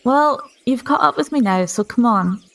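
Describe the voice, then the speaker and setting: A woman playing a shy, gentle teenage schoolgirl in a tabletop game, hesitant and understated. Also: a little high, lively intonation, normal speed, vocal fry. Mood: mildly exasperated.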